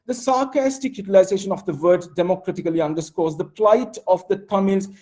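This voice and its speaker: A young Sri Lankan man with a Sri Lankan English accent, reading an academic conference paper in measured phrases with frequent fillers. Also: high voice, warm tone. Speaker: conference presenter.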